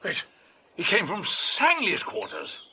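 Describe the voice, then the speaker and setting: An older, flustered lord from a 1930s radio mystery. He stammers and splutters in a husky voice with a sharp, forceful edge. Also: high-pitched, wildly swooping pitch, slow pace, heavily acted. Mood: alarmed. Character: flustered, stammering male titled gentleman, older. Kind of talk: 1930s detective radio drama acting.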